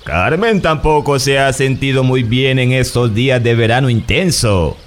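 A man's voice: mid-range voice, normal speed, close to an everyday voice. An actor playing a hospitable country father, eager to please, in a radio drama. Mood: delighted, accommodating.

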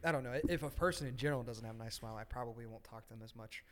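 A man's voice: mid-range voice, very fast, a bit bright, a little hiss or hum. A man from Ohio co-hosting a podcast, joking and teasing in crude casual chat. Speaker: podcast co-host.

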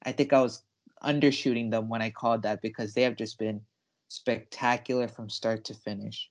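A man's voice: mid-range voice, fairly even intonation, moderate pace. A young man co-hosting a sports podcast, talking casually with emphatic, enthusiastic delivery.

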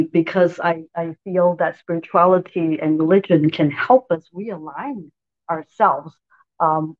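A woman's voice: lowish voice, muffled recording. A woman from Malaysia, hosting a spiritual talk show interview in an enthusiastic, effusive way. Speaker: podcast host.

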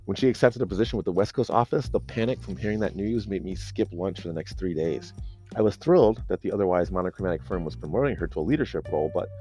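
A man's voice: low-pitched, lively intonation, fast talker, mellow, faint music behind. A man narrating a serialized audio story in the first person, in a conversational, dry and wry manner.

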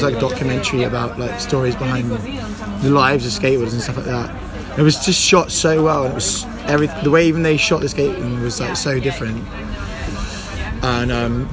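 A man, a band member chatting loosely in an interview outdoors, full of fillers and swearing. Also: medium pitch. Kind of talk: casual interview chat. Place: outdoors in an urban smoking area. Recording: loud music and crowd behind.

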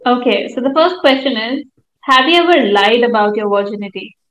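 Woman, Indian accent, higher-pitched, scripted podcast host, echoey recording. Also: lively intonation, fairly quick, fairly bright tone. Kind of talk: scripted podcast introduction and hosting.

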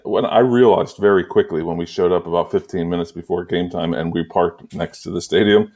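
A man's voice: deep voice, steady tone, brisk, darkish tone. A man hosting a casual sports podcast, telling anecdotes in a loose, rambling way. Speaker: podcast host. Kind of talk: casual sports banter and storytelling.

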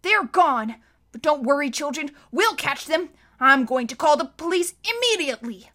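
A woman's voice: high voice, expressive, moderate pace, pushed and bright, voice pushed up. A woman voicing a fussy, formal middle-aged male guardian who talks in a stiff, apologetic way. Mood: firm apologetic.

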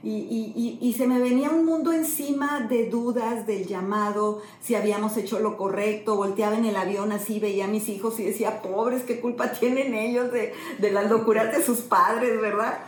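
A middle-aged woman pastor, telling a personal testimony earnestly and slowly. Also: on the high side, steady tone, a little hiss or hum. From Mexico. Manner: earnest storytelling, slow and emotional. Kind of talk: sermon-style testimony. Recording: echoey room, faint ambience.

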